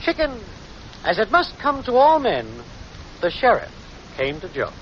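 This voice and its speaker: An actor playing a brash, slangy small-time schemer boyfriend with an American accent in a 1940s radio comedy. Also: male voice, sits high, wildly swooping pitch, measured pace, fairly bright tone, heavily acted. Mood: deflated. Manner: slangy, brash, smooth-talking. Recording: muffled, noisy vintage 1940s radio broadcast.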